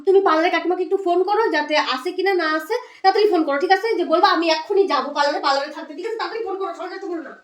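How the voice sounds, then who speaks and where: Woman, shrill high pitch, rapid nagging delivery, comedy skit, noisy home-video sound. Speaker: wife in comedy skits. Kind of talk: domestic comedy skit banter.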